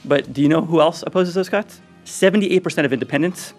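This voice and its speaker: A man speaking for an advocacy group, giving a formal press conference statement in a measured, deliberate way. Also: a little high, soft background music.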